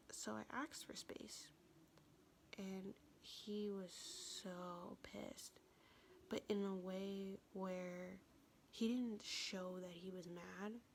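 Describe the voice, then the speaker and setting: A young woman telling a personal story in a soft, confessional whisper, vlog style. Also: mid-range voice, creaky, a little hiss or hum.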